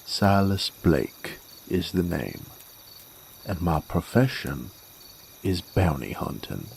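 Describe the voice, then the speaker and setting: A man playing a sardonic, menacing werewolf bounty hunter in a Western audio drama, with a folksy American Western drawl and a gravelly, unhurried delivery. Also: sits low, big pitch swings, darkish tone, a little hiss or hum, exaggerated voice. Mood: calm, menacing. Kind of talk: Western audio roleplay, intimate dramatic monologue. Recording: faint outdoor night ambience with crickets. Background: crickets and insects.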